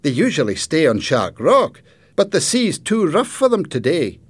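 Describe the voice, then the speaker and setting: Man acting a cheerful high-pitched teenage boy. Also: wildly swooping pitch, moderate pace, slightly rough, exaggerated voice. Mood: amused. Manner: upbeat, high-pitched, emphatic. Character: friendly, cheerful sixteen-year-old boy.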